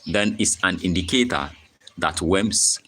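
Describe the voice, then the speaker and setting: Low voiced ghanaian guy doing a chicken farm livestream, keeps saying okay, bit of background hiss. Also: a little slow, fairly bright tone. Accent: Ghanaian English. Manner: repetitive, frequent "okay?" check-ins, reads chat aloud. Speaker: poultry farmer and channel host. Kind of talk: live-stream farming tutorial.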